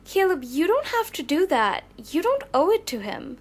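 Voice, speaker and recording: A woman's voice: very high voice, big pitch swings, moderate pace. A woman playing a cautious, protective young superheroine in an audio drama, with an emphatic, earnest delivery. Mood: wary, firm.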